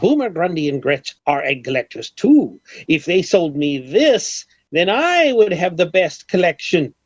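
An actor playing a pompous, eccentric millionaire obsessed with collecting eggs, with flowery theatrical delivery in old-time radio comedy style. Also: male voice, a little high, very animated, unhurried. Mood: conspiratorial, dramatic. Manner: theatrical, grandiloquent, flowery formal speech. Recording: dull old radio recording.

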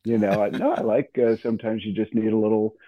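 A man's voice: fairly low pitch, steady tone, fast talker, no echo, lo-fi sound. Thin phone audio, man, dry sound, casual, hesitant, rambling. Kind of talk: casual chat.

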